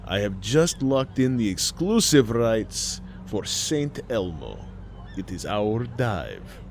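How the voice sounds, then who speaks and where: A man's voice: on the low side, very animated, takes it slowly, creaky, darkish tone, slight background noise, exaggerated voice. A man voicing a coaxing immigrant salvage boss in an audio story, dramatic and drawn out in his emphasis. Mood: persuasive. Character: wheedling, gold-toothed salvage boss, male immigrant sailor.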